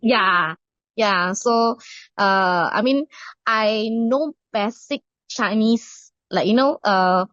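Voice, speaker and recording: A woman's voice: mid-range voice, takes it slowly, fairly bright tone, no echo. Hesitant Indonesian-accented woman. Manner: hesitant, many fillers and restarts. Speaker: interviewee. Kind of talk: casual interview answers. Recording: muffled dry recording.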